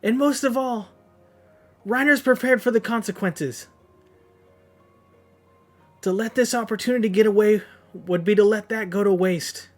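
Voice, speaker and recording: A man reading aloud as an urgent young soldier from a comic, his voice pushed up high and strained. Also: unhurried, long pauses, soft background music. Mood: determined.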